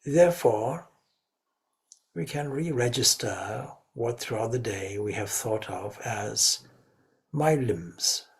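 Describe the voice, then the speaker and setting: A man leading a guided meditation as a spiritual teacher, speaking reverently in short, measured phrases. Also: medium pitch, vocal fry.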